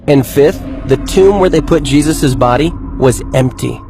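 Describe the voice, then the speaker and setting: A man's voice: on the high side, moderate pace, gravelly, music in the background. An actor playing an earnest male believer who explains his case methodically and articulately in a scripted skit. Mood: reasoned, emphatic.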